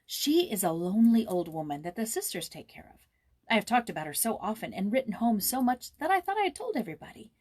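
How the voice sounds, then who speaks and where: A woman's voice: mid-range voice, big pitch swings, fast talker, darkish tone. Woman voicing an eager, tender-hearted young girl. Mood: tender, earnest. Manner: impulsive, earnest, gushing.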